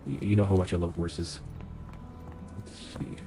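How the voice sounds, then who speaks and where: A man's voice: sits low, little pitch movement, unhurried, over loud music. A young man streaming a game playthrough, thinking aloud as he plays, sounding nasal because he is sick. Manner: chatty, thinks aloud while playing. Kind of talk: live game playthrough commentary.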